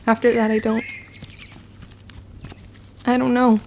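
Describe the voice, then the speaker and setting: A woman's voice: fairly high pitch, flat delivery, slow, darkish tone, a little hiss or hum. An actress playing an earnest, bookish young witch in a period audio drama, speaking in formal old-fashioned phrases. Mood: shaken, confessional.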